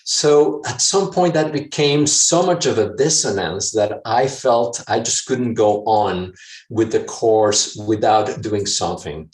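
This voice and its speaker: A man from Mexico City talks through a personal story to an audience, slow to find his words, with many fillers and restarts. His voice sits fairly low. He sounds set back from the mic in an echoey room. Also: recorded from a distance. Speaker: speaker sharing a personal story. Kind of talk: reflective talk to an audience.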